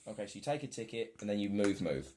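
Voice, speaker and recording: Excitable man at a game table. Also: on the low side, rapid-fire, a little hiss or hum. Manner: excitable, reads card text aloud. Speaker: player reading cards. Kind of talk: casual board game chat.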